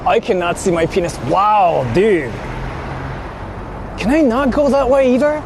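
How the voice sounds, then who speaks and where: A man's voice: high-pitched, lively intonation, unhurried. A man livestreaming outdoors, chatting casually and informally, repeating himself and swearing as he rambles. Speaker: livestreamer hosting an outdoor stream. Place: outdoors on Tokyo streets. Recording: noisy city street with passing traffic.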